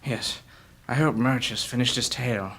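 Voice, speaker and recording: Old male adventurer for fantasy audio drama: emotional, haunted, grave, medium register, halting delivery. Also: mid-range voice, pushed and bright, breathy edge. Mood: subdued.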